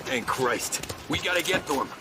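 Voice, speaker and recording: An actor playing a steady, wary gangster in a video game cutscene, with a grim, understated manner. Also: male voice, medium pitch, normal speed, close to an everyday voice.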